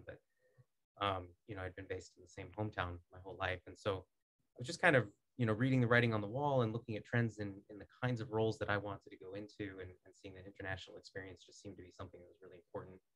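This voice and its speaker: Podcast guest, man in his thirties, low voice, quick and articulate, studio boom mic. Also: rough recording. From United States. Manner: measured, articulate, many "um"s and restarts. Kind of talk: casual interview talk. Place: small acoustic-foam podcast studio.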